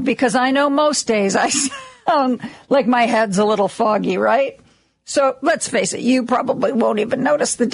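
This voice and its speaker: A woman hosting a radio talk show in a studio, with a slightly raspy, hoarse voice and a chatty, self-deprecating way of talking full of ums and restarts. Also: fairly high pitch, no room sound. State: a little hoarse, lingering cough. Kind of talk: casual on-air monologue. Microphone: studio microphone.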